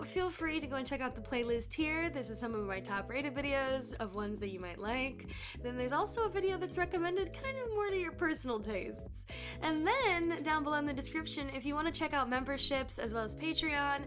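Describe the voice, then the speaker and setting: A woman with a high voice hosts her audio channel, talking directly to viewers in a sign-off. Music plays clearly behind her. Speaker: audio roleplay creator, channel host. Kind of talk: channel outro, addressing viewers.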